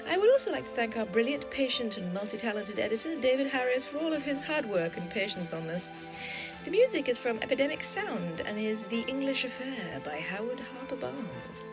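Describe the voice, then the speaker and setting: A woman's voice: fairly high pitch, animated, fairly quick, poor audio. A woman reading scripted closing credits as presenter, with music playing behind her. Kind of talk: scripted podcast sign-off. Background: loud music.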